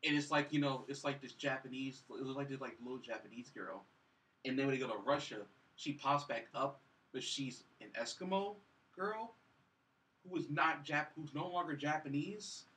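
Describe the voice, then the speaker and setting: A man co-hosting a casual film review podcast, talking in a halting, repetitive way full of "like". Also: medium pitch, pushed and bright. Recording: slightly distant from mic.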